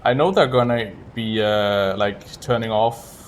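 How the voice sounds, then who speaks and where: Guy with a lowish voice streaming a speedrun, just chatting while he plays, bit of background noise. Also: measured pace. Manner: chats to viewers while playing. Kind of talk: casual gameplay commentary.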